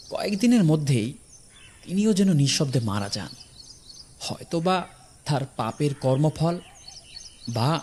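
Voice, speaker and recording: A man's voice: mid-range voice, a little mellow, a little hiss or hum. A man narrating a horror story in a dramatic, scripted storytelling style.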